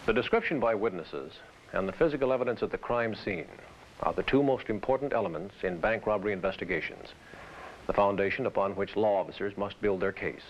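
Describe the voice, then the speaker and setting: A man presenting scripted instruction in a formal, deliberate manner. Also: fairly low pitch, expressive. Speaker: training film presenter. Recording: dull hissy vintage training film soundtrack.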